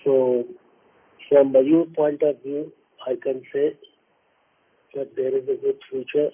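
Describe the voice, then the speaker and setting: A businessman with an Indian accent gives unscripted remarks in a husky voice that stays on a narrow, even pitch. His phrasing is deliberate and halting, with words repeated as he goes. The sound is band-limited, like a telephone conference line. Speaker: company executive. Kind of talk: unscripted earnings call remarks. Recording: narrow tinny phone-line audio, muffled.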